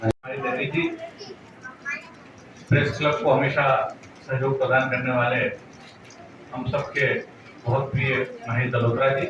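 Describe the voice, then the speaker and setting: A man's voice: mid-range voice, animated, a little slow, pauses often. A man speaking formally as he welcomes guests at an event in an address. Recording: very echoey hall, distant, muffled, noisy.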